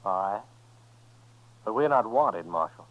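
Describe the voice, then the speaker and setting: A man's voice: fairly low pitch, lively intonation, unhurried. A man playing a surly, suspicious American gunman in a 1950s radio western, curt and folksy in his speech. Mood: defiant. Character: surly, suspicious male Old West gunman. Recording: dull vintage radio recording.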